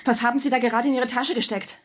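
An actress in a German radio drama playing a defiant, demanding, frightened wife who trusts nobody. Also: female voice, fairly high pitch, steady tone, fast talker, natural voice. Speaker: actor in a radio play.

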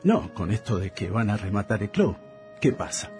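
An actor playing a wisecracking, naive working-class sidekick in a radio comedy, with a colloquial Argentine accent and a habit of chipping in. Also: male voice, on the low side, big pitch swings, moderate pace, a little mellow, soft background music. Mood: downcast. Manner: colloquial, interjecting, teasing. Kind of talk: comedic radio play acting.

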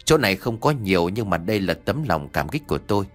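A man voicing a calm, philosophical male traveller with a measured, courteous manner in a told story. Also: sits low, faint music behind. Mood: grateful.

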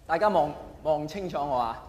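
High-voiced Hong Kong Cantonese male stand-up comedian. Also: lively intonation, normal speed, a little mellow. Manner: theatrical, acts out quoted characters; rhetorical questions thrown at the audience. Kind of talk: live stand-up comedy monologue to an audience. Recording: echoey hall, long reverb, faint noise.

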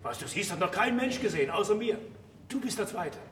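An actor playing an exuberant, self-assured astronomer in a German radio play, declaiming assertively. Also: male voice, high-pitched, very animated, a little fast, a bit bright. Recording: roomy, distant, faintly noisy radio play recording.

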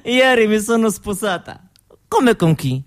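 A woman's voice: medium pitch, expressive, a little slow, lightly acted. A woman playing a cheerful, proud young newlywed in a stage monologue, speaking in broken grammar and searching for words. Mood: happy, playful. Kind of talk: theatrical monologue as if answering an interviewer.

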